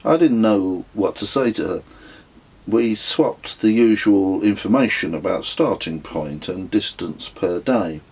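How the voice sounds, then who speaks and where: A man narrates his own memoir as an audiobook. His voice is on the low side and quite husky, and he reads at a very unhurried pace. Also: lively intonation, darkish tone. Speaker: author reading his own book. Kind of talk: scripted audiobook narration, first-person memoir.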